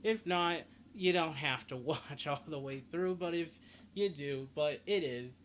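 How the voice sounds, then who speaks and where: Higher-pitched man, casual gaming guide, noisy recording. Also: a little slow, a bit bright. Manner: rambling, repeats and rephrases himself. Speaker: gaming video host. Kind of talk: casual game character guide commentary. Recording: clearly noisy home recording.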